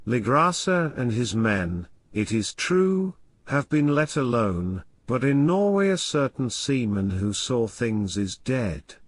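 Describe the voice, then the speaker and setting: A man reading a classic horror story aloud as scripted narration, in a measured, formal, literary manner. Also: mid-range voice, sing-song, dry recording. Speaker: audiobook reader.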